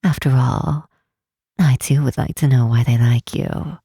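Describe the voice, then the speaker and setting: A woman voicing a curious, teasing half-elf tower master in a fantasy ASMR roleplay, speaking softly in an unhurried, formal, old-fashioned way. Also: rumbling low, fairly even intonation, no echo, clean studio sound, exaggerated voice. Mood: pensive, curious. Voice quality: soft, breathy, husky.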